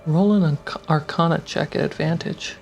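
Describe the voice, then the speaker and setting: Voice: mid-range voice, normal speed, vocal fry, lo-fi sound, over music. A game master narrating a tabletop fantasy game in a suspenseful, storytelling style.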